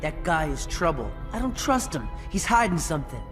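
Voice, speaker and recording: A man's voice: a little high, big pitch swings, normal speed, over music. An actor playing a cynical, sulky teenage tough guy in a video game, with blunt, sarcastic delivery. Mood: suspicious. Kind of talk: video game cutscene acting.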